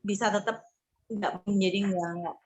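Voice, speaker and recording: A woman marketing consultant talking in a casual group discussion, pausing often with fillers and restarting her sentences. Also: medium pitch, fairly even intonation, muffled recording. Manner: hesitant, many fillers and restarts; informal, conversational explaining.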